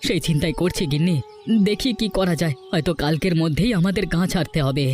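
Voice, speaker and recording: A man's voice: on the high side, fast, a little mellow, soft background music, natural voice. A man voicing a humble, anxious village husband in a cartoon folktale, earnest in manner. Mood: worried. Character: anxious, humble village husband, stubborn about his home. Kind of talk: Bengali cartoon folktale voice acting.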